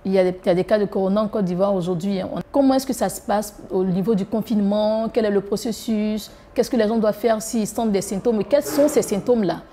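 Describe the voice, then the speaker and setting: Mellow-voiced woman interviewer. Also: mid-range voice, fast, hardly any pauses, a little hiss or hum. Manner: brief prompting questions. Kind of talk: interview questions.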